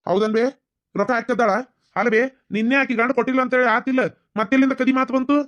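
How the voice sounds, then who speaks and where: A man plays a grown son worn out by bickering women in a village comedy cartoon. He speaks extremely fast with a North Karnataka accent. His manner is exasperated, full of reproachful questions. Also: extremely high pitch, steady tone, a bit bright.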